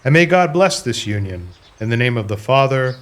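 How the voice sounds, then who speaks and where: A man's voice: mid-range voice, very animated, moderate pace, lightly acted. A man acting a solemn clergyman officiating at a wedding in an audio drama, formal and ceremonial.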